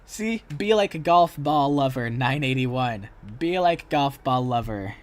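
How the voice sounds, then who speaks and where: A man's voice: mid-range voice, lively intonation, measured pace. A man chatting casually as the host of a reaction livestream. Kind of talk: casual reaction stream chat.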